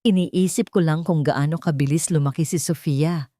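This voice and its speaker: A woman's voice: low voice, moderate pace, dark tone, no echo, studio quality, close to an everyday voice. Woman as an earnest, troubled wealthy man. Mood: uneasy. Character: troubled wealthy young father, hesitant and earnest.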